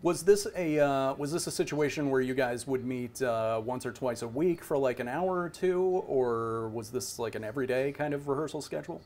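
A middle-aged man hosting a conversational interview on a video call, feeling his way through questions with halting, repeated words. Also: medium pitch, darkish tone. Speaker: interviewer and show host. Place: indoor room at home.

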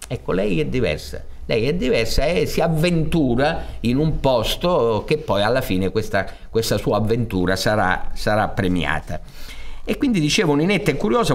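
A man, explaining a story like an informal lecturer, pausing to search for words. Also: fairly low pitch. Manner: halting, searching for words, explanatory with emphatic swings. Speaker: theatre director presenting a radio fairy-tale programme. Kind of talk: informal spoken introduction to a fairy tale, storytelling lecture. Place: indoors on a theatre stage.

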